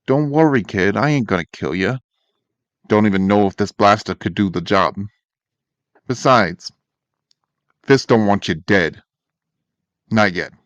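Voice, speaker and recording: A man's voice: on the low side, animated, unhurried, pauses often, no echo, dull audio. A man voicing a blunt, streetwise gangster who talks in tough slang, in a fan comic dub. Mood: gruff. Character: streetwise male gangster, torn but decent.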